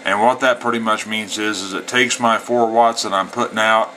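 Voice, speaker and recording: A man's voice: on the low side, fairly even intonation, moderate pace, pushed and bright, low-quality recording. A man operating a CB radio, speaking in a folksy way with CB slang, between radio calls and casual explanation. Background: CB radio static and chatter.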